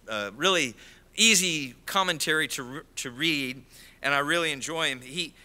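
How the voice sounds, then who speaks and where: A middle-aged man preaching a sermon from a church pulpit, animated and gesturing, with frequent "uh" fillers. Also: medium pitch, clean tone. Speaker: pastor. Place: large church sanctuary. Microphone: pulpit microphone.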